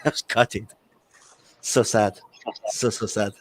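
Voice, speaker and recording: A man's voice: lowish voice, fairly even intonation, takes it slowly, no echo, poor audio. A man with a Scottish accent chatting casually on a livestream, telling stories full of fillers and swearing. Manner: sweary, filler-heavy storytelling.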